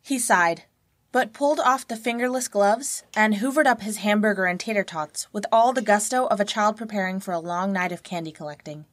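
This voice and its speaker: Lady reading a story, really dry studio sound, normal mid pitch. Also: no room sound. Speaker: guest narrator. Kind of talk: first-person scripted story narration.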